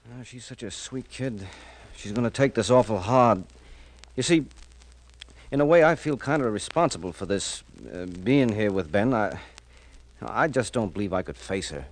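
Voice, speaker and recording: An actor playing a worried, soft-hearted loyal friend, speaking earnestly and falteringly in old radio crime drama style. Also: male voice, on the low side, expressive, moderate pace. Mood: reluctant. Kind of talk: 1940s-50s radio crime drama acting.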